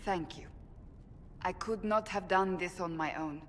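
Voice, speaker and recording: A woman's voice: fairly low pitch, unhurried, close to an everyday voice. A woman playing a stern, earnest warrior of a holy order in a fantasy game, speaking in a formal, intense way. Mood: grateful. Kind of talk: dramatic fantasy game acting. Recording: reverberant hall with faint ambient noise.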